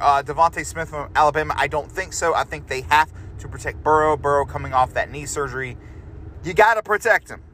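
A man's voice: a little high, a bit bright, a little hiss or hum. A man hosting a podcast, chatting casually and loosely, often restarting and correcting himself as he goes. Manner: rambling, loose, self-correcting. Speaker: podcast host. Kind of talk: casual sports prediction chat.

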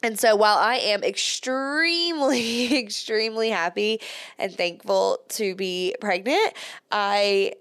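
A woman's voice: medium pitch, takes it slowly, fairly bright tone, clear, resonant tone, no echo. Chatty congested woman podcast host. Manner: chatty, says "like" often, laughs between words. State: slightly congested from a cold. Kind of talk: casual solo podcast chat.

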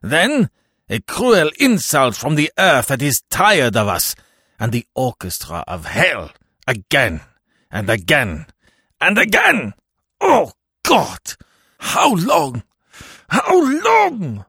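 A man voicing the ghost of an old priest, lamenting in a husky, quavering voice that swells to anguished cries. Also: fairly high pitch, wildly swooping pitch, drawn-out words, hardly any pauses, pushed and bright, no echo, clean studio sound, pitched above the performer's own voice, full character voice. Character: aged dead village priest's ghost, wailing in torment. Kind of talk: audiobook reading.

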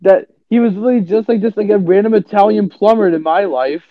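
Podcast co-host, male, high voice, dry and dull recording, laughs a lot, incredulous. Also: fairly quick, no room sound. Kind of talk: improvised comedy banter.

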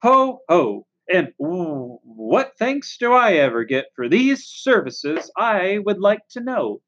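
A woman's voice: fairly low pitch, big pitch swings, slow pace, highs cut off, a little hiss or hum. A woman voicing a lazy, grumbling male rat from a storybook, mocking and drawn out in complaint. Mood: mocking, aggrieved.

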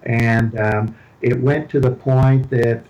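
An older man from Houston with a Texan accent, a podcast guest giving a deliberate testimony with pauses and fillers. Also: mid-range voice, fairly even intonation, darkish tone, muffled recording. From Houston, Texas. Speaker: podcast guest, ministry leader. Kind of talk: slow, measured testimony.

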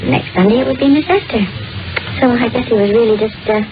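A woman's voice: fairly high pitch, quick pace, lightly acted. An actress playing a gossipy, curious and easily frightened local woman, chatty and hesitant, in an old-time radio drama. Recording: muffled vintage radio recording with music.

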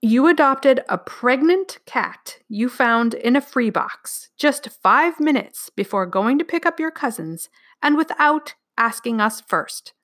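A stern father, as a woman narrator puts him on in a children's audiobook. He sounds exasperated and incredulous, laying out each phrase deliberately. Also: medium pitch, fairly bright tone, lightly acted.

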